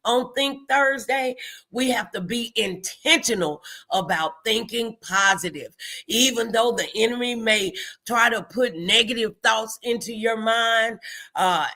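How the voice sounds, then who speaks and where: A woman's voice: fairly high pitch, sharp tone. An American woman hosting a motivational morning live show, speaking in an emphatic, encouraging, faith-filled way and repeating phrases for effect.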